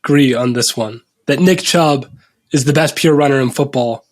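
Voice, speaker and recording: A man's voice: medium pitch, normal speed. A young man hosting a casual sports podcast, upbeat and joking as he runs the show. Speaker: podcast host.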